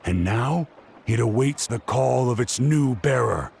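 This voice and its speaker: A man's voice: medium pitch, expressive, unhurried, gravelly, darkish tone, a little hiss or hum. An actor playing an earnest young male scholar who eagerly explains his theories. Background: game music.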